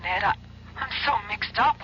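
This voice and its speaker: A woman's voice: mid-range voice, normal speed, bright, forceful tone. An actress playing a worried young woman calling her boyfriend, anxious and pleading, heard through a phone filter. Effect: telephone filter. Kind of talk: 1970s radio drama acting. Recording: dull old radio recording with faint hiss.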